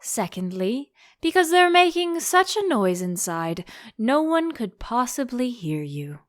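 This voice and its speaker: A woman voicing a solemn, obtuse frog footman in a storybook, slow and literal-minded in his stubborn indifference. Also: on the high side, big pitch swings, dry recording, studio quality. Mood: matter-of-fact.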